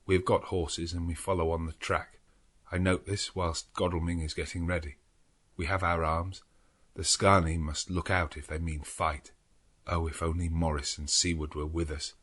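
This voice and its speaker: A male narrator acts a desperate young English husband in a gothic audiobook. His voice is low and husky, with an earnest, urgent delivery of each diary line. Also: level tone, normal speed, fairly bright tone. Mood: anxious, urgent.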